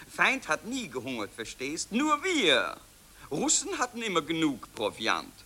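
An actor playing a jovial, bossy general on the telephone, talking in a chummy, wheedling way. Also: male voice, a little high, sharp tone, full character voice. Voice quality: chummy, wheedling, mock-confiding. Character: Viennese general on the phone, joking and bossy. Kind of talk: radio play acting.

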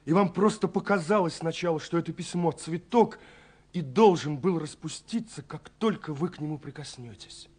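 A man's voice: fairly high pitch, moderate pace, husky. An actor playing an ardent, poetic young man in love, reading letters with rapt tenderness in a radio play. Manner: rapt, swelling then dropping to hushed tenderness. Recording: 1970s radio production with music. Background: music.

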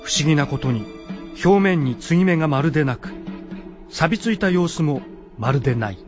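A man's voice: on the high side, lively intonation, fairly quick, highs cut off, over music. A man narrating a scripted radio drama with solemn, dramatic storytelling.

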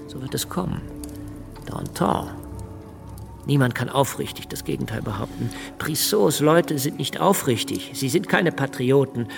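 Intense, austere revolutionary over loud music. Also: male voice, medium pitch, gravelly. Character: principled, suspicious revolutionary politician, austere and intense. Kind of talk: German radio drama acting.

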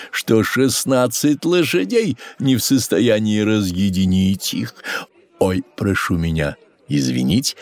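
A man's voice: fairly low pitch, dry recording. An actor playing a proud, courteous seventeenth-century scientist explaining his experiment theatrically in an educational audio drama. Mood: courteously excusing himself.

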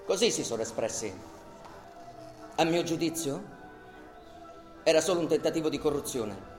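A man's voice: fairly high pitch, lively intonation, moderate pace, lightly acted. Man acting indignant accused citizen, theatrical radio drama, rhetorical and scornful, roomy echo, music underneath. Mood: sarcastic indignation. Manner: declamatory, rhetorical, sarcastic. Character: indignant accused man defending himself before a tribunal.

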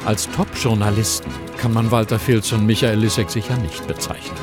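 A man performing as a mock-serious radio feature narrator, reading scripted lines deadpan with a German accent. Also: on the low side, moderate pace, over music, lightly acted.

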